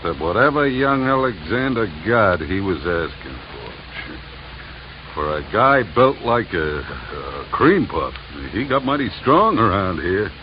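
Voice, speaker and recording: An actor playing a gruff, world-weary oil field operator in a 1950s radio drama, terse and halting. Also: male voice, lowish voice, big pitch swings, exaggerated voice. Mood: cynical, resentful. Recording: muffled vintage radio, noisy background.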